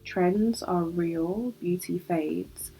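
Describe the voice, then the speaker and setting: A woman's voice: fairly low pitch, drawn-out words, creaky, mellow, slight background noise, rough recording. A young British woman hosting a solo podcast, chatty and reflective, sounding nasal from a cold. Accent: British English. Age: young adult. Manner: chatty, reflective, laughs easily. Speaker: podcast host.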